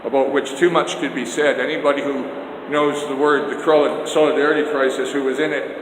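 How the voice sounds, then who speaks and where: A loud older man rants with emphatic indignation about politics, recording himself on a phone held out on a selfie stick. His voice sits at a middle pitch and rings through an echoey hallway with a long tail of reverb. Steady noise hums behind him. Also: a little fast. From Canada. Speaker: vlogger. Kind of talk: rambling political vlog. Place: outdoors then indoor stairs and hallway. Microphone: handheld phone on a selfie stick.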